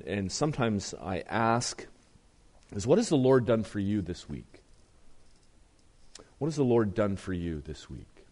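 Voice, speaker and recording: A man preaching a sermon as pastor, his voice strained and deeper than usual, reading scripture with earnest, rising emphasis. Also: a little mellow.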